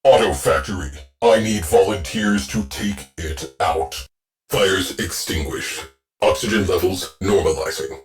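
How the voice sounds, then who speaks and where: An actor playing a gruff male ship AI that gives clipped, deadpan military orders to a warship crew in a video game. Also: sits low, measured pace, music in the background. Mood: terse. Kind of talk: game voice lines.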